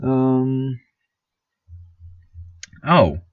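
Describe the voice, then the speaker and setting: A man playing a timid, awkward, eerily cheerful small-town radio news host, stammering and pausing through a surreal fiction podcast monologue. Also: mid-range voice, lively intonation, dry recording. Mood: nervous, deferential. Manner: hesitant, stammering, many ums and pauses. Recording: dull, lo-fi, slightly muffled sound.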